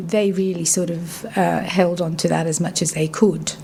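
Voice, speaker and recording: Gravelly low-voiced woman with refined London accent. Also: fairly even intonation, normal speed, mellow, a little hiss or hum. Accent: refined London English. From Yemen. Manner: reflective, many fillers and restarts. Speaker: interview guest. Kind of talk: casual interview conversation. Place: radio studio.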